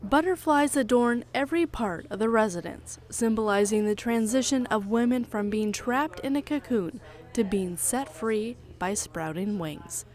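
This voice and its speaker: A woman in her forties, a television reporter, delivering a scripted news report in a clear, measured way. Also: on the high side, expressive, a little mellow. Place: television studio.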